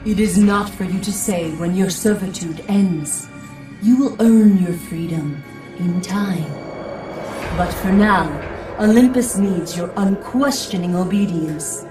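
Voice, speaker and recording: A woman's voice: medium pitch, close to an everyday voice. A woman voicing a stern, echoing goddess. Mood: commanding. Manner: slow, stately commands. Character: commanding goddess, stern and solemn. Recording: echoey, distant voice over music.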